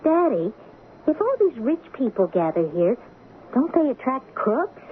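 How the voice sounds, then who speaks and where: An actress playing a lively, questioning teenage high school girl in a radio drama, expressive and wry. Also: a little slow. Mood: curious. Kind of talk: 1970s radio drama acting with first-person narration. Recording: muffled lo-fi radio recording with hiss.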